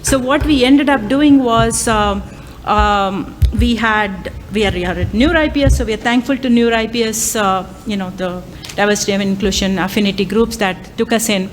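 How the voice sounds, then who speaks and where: A woman's voice: medium pitch, moderate pace, slight background noise. A woman whose first language is Tamil, an AI lecturer and workshop chair, speaking live at a conference with many ums, uhs and restarts. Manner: hesitant, many fillers and restarts. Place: conference hall.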